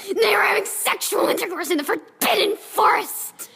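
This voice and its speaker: A woman voicing a furious old male wizard headmaster, shouting and scolding in outrage in a comic dramatic reading. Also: very high-pitched, moderate pace, creaky, slight background noise, pitched above the performer's own voice, heavily acted. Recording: long echoing reverb.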